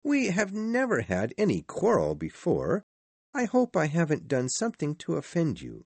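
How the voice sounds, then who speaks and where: A man voicing a cheerful, warm-hearted young nephew in a storybook, earnest and exclaiming as he pleads with his uncle. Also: on the high side, wildly swooping pitch, normal speed, vocal fry, breathy edge, dry recording, voice pushed up, exaggerated voice. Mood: hurt but kindly. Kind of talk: storybook character voice.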